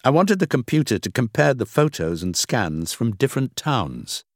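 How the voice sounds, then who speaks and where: Male narrator as determined young female employee in a storybook, slightly lifted pitch, plainly spoken, insistent. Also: big pitch swings, normal speed, no echo, clean studio sound. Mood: earnest, insistent. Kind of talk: audiobook character acting.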